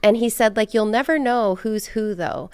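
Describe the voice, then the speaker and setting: A woman's voice: a little high, normal speed, a little mellow, clean tone, no room sound, studio quality. An American woman hosting a podcast in a casual, chatty, informal conversational style. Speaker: podcast host.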